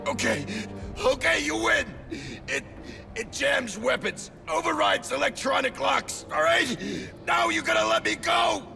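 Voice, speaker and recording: A gravelly street crook, mouthy and combative, with a pitch that swings wildly. He talks in slangy defiance like a cornered game-villain lowlife, with music behind him. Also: wildly swooping pitch, unhurried, raised voice, full character voice. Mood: panicked shouting. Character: mouthy, defiant low-life male crook under police questioning. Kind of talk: video game voice acting.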